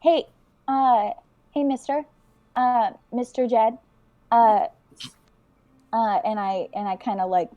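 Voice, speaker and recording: A woman playing a tabletop role-playing game, talking casually and improvising in a halting, rambling way. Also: a little high, fairly even intonation, narrow, tinny audio.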